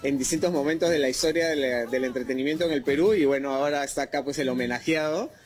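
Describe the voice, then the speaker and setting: Higher-voiced Peruvian man, tribute remarks. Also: fast. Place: outdoors, outside TV studio building. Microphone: handheld reporter microphone.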